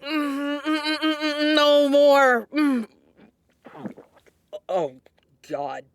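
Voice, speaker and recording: Woman acting a tired hungover station manager for an audio comedy, talks slow, kinda dry and groggy. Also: high-pitched. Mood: groggy, queasy. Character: hungover, weary young woman running a small radio station. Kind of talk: naturalistic comedy audio drama.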